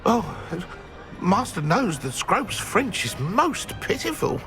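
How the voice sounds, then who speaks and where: An actor playing an anxious, servile male house elf in a video game, gravelly and hesitant. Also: sits high, very animated, takes it slowly, music in the background, heavily acted. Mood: nervous, deferential. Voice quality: creaky, gravelly. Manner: hesitant, speaks of himself in third person. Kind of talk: video game character acting.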